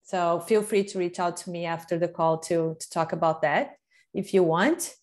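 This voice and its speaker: A woman from Brazil with a Brazilian Portuguese accent, moderating a panel over a video call in a friendly, expressive way. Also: on the low side, normal speed. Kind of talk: casual panel chat. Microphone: earphone headset on video call.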